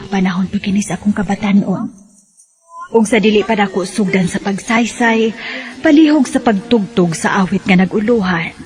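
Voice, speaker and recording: An actress playing a woman who recounts memories of her youth in measured radio drama narration. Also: medium pitch, close to an everyday voice. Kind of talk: scripted first-person radio drama narration.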